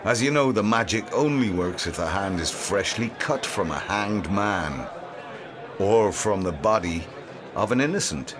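A man playing a sly, smooth-talking hangman selling a grisly talisman with theatrical salesman's patter in a radio drama. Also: fairly low pitch, expressive, unhurried, crowd noise. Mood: sly, wheedling.

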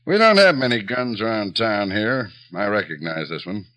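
An easygoing small-town police chief in a 1950s radio mystery. His voice is on the low side, with lively rises and falls. He talks in a plain, folksy, colloquial way. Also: normal speed. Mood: easygoing, reflective. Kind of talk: 1950s radio drama acting.

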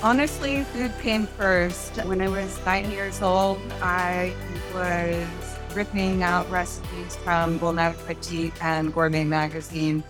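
Woman author in her fifties from the US, interview storytelling, slightly low voice, faint music behind. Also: little pitch movement, slow, hardly any pauses. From United States. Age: 50s.

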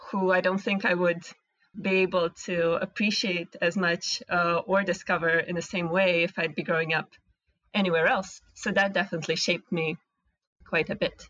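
Russian accented woman in an interview, thinking out loud, kinda muffled and dry. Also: on the low side, no echo. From Latvia and Russia. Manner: thoughtful, searching for words. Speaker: interview guest, animation technical director. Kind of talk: casual interview conversation.

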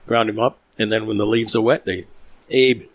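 A man's voice: lowish voice, fairly even intonation, normal speed. A man hosting a casual podcast in a cigar lounge, explaining things in an easygoing way. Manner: explaining, easygoing, laughs often. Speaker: podcast host. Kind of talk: casual chat.

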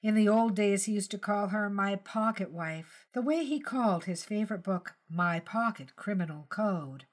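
Woman reading a short story, audiobook narration, medium pitched voice. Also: normal speed, hardly any pauses. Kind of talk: scripted fiction narration.

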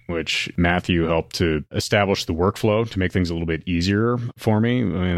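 A man, explaining in a measured, casual way as a podcast host. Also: no room sound, clean studio sound. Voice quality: deep baritone. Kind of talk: casual conversation. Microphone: USB microphone into a laptop, with headphones.

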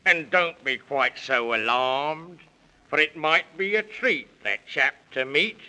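A man's voice: on the high side, slow pace, sharp tone, heavily acted, poor audio. A man voicing a cheery, encouraging British walrus in a 1930s children's radio serial, jaunty and reassuring, speaking in rhyme. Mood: cheery encouragement.

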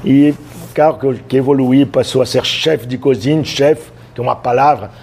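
A man in his sixties from France, a chef speaking Portuguese with a French accent, telling stories in a relaxed interview with many fillers. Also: medium pitch, moderate pace, vocal fry, slightly rough. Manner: storytelling, hesitant with many fillers, laughs easily. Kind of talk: casual interview talk.

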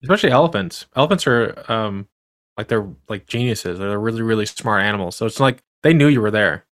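A man's voice: on the low side, expressive, fairly quick, fairly bright tone. A man hosting a podcast, asking rambling questions full of restarts and fillers. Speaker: podcast host. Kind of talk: casual interview chat.

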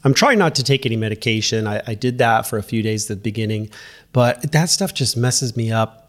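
A man of ordinary pitch talks through a solo podcast in a relaxed, conversational way. His voice is nasal and stuffed up from a head cold. He speaks straight to the listener and keeps checking in as he goes. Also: a little fast. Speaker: podcast host and running coach. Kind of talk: casual solo podcast talk.

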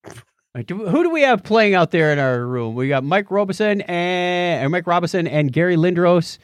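Animated, higher-voiced man hosting a podcast. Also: moderate pace, clear, resonant tone, no room sound. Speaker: podcast host. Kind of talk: casual banter and reading odd news stories.

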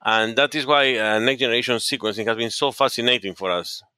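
A man's voice: mid-range voice, brisk, sharp tone, dry recording, studio quality. A middle-aged man from Peru with a Peruvian Spanish accent, speaking English as a second language, answering interview questions earnestly with frequent fillers and restarts. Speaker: oncologist guest. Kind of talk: podcast interview.

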